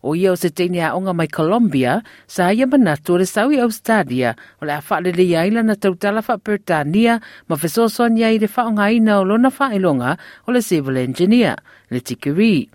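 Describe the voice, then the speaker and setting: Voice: medium pitch, expressive, fast, a little mellow, no room sound, clean studio sound. A Samoan-accented reporter reading a scripted news narration.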